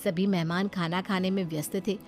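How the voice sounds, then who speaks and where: A woman's voice: lowish voice, fairly even intonation, a little fast, a little mellow, soft background music. A woman reading scripted story narration.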